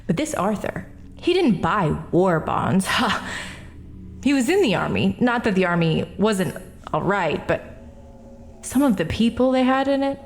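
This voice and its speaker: An actress playing a defensive, self-righteous middle-aged woman testifying at an inquest, talking in an indignant, sharp-tongued way. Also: mid-range voice, very animated, a little slow. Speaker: witness at an inquest. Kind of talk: 1940s radio acting.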